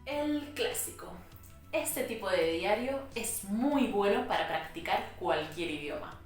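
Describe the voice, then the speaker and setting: A woman's voice: mid-range voice, expressive, slow, faint music behind. Spanish-accented woman teacher in an echoey room. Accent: Spain Spanish. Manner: clear, patient explaining. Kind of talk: educational vlog narration. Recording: echoey room, mic at a distance.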